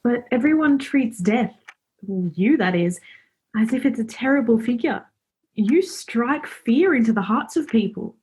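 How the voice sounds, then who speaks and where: A woman's voice: on the high side, expressive, unhurried, a little mellow, husky, heavily acted, low-quality recording. A woman acting a chatty, cheeky, friendly character in a radio play, speaking informally and forthrightly. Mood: earnest, forthright. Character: Laura, chatty, cheeky, friendly young woman befriending Death.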